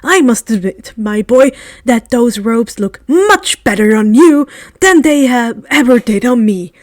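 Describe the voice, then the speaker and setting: A woman's voice: fairly high pitch, expressive, measured pace, no echo, studio quality. A woman voicing a kindly, whimsical elderly male wizard, genteel and wordy, as if reading out his letter in a storybook. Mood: genial, conspiratorial.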